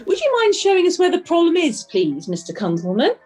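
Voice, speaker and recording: A woman's voice: very high voice, wildly swooping pitch, fairly quick, darkish tone, soft background music, exaggerated voice. A woman playing a gushing, polished resort manager, eagerly courteous and formal, in an audio drama.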